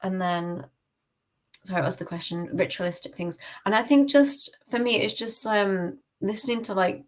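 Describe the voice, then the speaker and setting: A woman's voice: medium pitch, fairly even intonation, moderate pace. A British woman giving a personal testimony in a casual interview, candid and hesitant, often correcting herself. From United Kingdom. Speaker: podcast guest.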